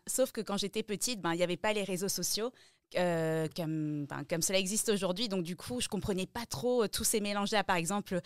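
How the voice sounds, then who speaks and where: Female podcast guest from France. Clean, smooth voice, conversational personal storytelling, close dry sound. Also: mid-range voice, no echo.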